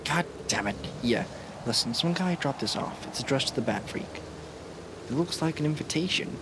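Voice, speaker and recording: Casting: plain-spoken cop, played by a man, medium pitch, hesitant and uneasy, everyday radio drama delivery. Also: animated, normal speed, heavy background noise, lightly acted. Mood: wary. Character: uneasy, blunt male police detective.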